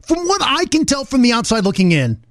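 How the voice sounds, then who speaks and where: Male radio co-host, high and very lively, slangy conversational delivery. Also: fairly quick, no echo, clean studio sound. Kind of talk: casual morning radio banter.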